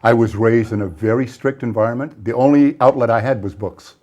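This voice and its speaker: A Scottish-born man in his sixties, a guest author and songwriter telling wry, brisk anecdotes in a studio interview on a lapel mic. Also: mid-range voice, fairly even intonation, a little mellow. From Scotland. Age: 60s. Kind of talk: casual interview storytelling. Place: small TV studio set.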